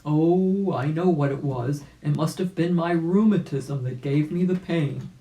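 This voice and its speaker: A woman voicing a fussy, exclamatory old gentleman rabbit in a children's storybook, with old-fashioned turns of phrase. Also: deep voice, unhurried. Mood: puzzled. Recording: roomy, echoing home recording.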